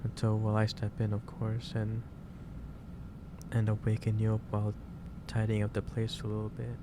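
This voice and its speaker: Man playing a low, gentle, halting male boss. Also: monotone, close to an everyday voice. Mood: fond, concerned. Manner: hesitant, halting, reassuring. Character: gentle, caring male office boss, mildly lecturing. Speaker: ASMR roleplay performer. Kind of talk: ASMR roleplay, soft improvised monologue. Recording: clear background noise, ambience.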